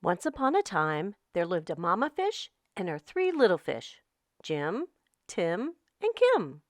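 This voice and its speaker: A woman narrating a children's story as host, in an expressive, deliberate read-aloud style. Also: lowish voice, no echo, clean studio sound. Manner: slow, animated, deliberate storytelling delivery.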